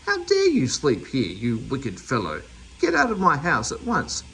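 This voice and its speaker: A man voicing a big, bossy storybook housewife, angry and astonished, scolding in sharp exclamations. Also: medium pitch, wildly swooping pitch, a little slow, slight background noise, exaggerated voice, poor audio. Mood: outraged.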